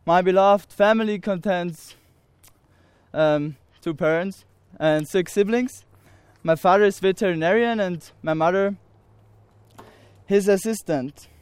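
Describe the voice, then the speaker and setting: A nineteen-year-old man from Austria with an Austrian German accent, giving an earnest personal testimony to an audience in halting English full of pauses and restarts. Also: sits high, smooth, dry recording.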